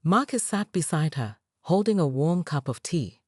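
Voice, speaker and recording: A woman narrates a scripted story in a measured, dramatic way. Her voice sits low, yet her intonation swings widely and expressively. The recording is dry and close, with no room sound. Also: expressive, a little mellow, husky, no echo.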